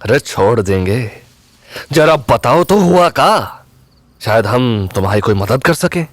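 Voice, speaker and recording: A leering, mocking young thug in an audio drama, drawling his words slowly with a lively, swinging pitch. His sneering voice sits close and dry against the mic. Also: no echo. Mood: teasing. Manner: mocking, leering, laughs at others' pain. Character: sly, taunting young male village thug. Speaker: villain.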